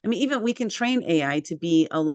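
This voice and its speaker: A woman's voice: medium pitch, fast, no room sound. A woman hosting a casual author chat, telling stories and acting out her own quotes. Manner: animated storyteller, acts out quotes. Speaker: host.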